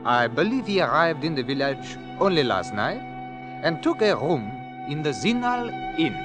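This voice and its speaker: An earnest mountain villager in a vintage radio play. His delivery is careful and halting, with an animated, wide-ranging pitch. Music is clearly heard behind him. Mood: puzzled, narrating. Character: earnest, puzzled male Alpine villager recounting a strange meeting. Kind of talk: 1940s radio drama acting.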